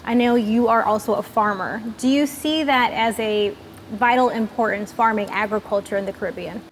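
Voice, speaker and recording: A woman hosting a podcast-style interview, making bright introductions and affirming her guests often. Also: mid-range voice, normal speed, a little hiss or hum, rough recording. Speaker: host.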